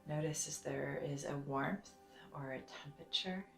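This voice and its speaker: A woman leading a guided meditation in a soft, soothing, deliberate way, sometimes breathy, with long pauses. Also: deep voice, roomy sound. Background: soft music.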